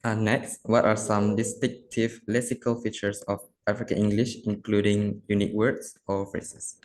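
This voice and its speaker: A man's voice: on the low side, little pitch movement, normal speed, a little mellow, poor audio. A man interviewing a guest, giving brief acknowledgements and then reading out his questions.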